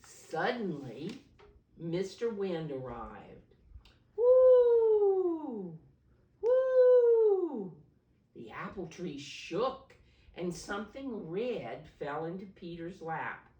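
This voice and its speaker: A woman reading a picture book aloud to small children, pausing to ask simple questions and explain. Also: lowish voice, very animated, dull audio. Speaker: preschool storybook reader, teacher figure. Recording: roomy echo, distant mic.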